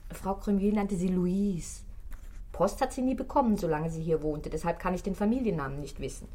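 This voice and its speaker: Eine Schauspielerin spielt in einem deutschen Hörspiel eine geschwätzige, ausweichende Concierge, die zögernd und vertraulich redet. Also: female voice, on the low side, a little fast, mellow, natural voice. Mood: evasive, confiding. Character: gossipy, evasive, money-minded Parisian concierge woman. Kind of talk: German radio play acting.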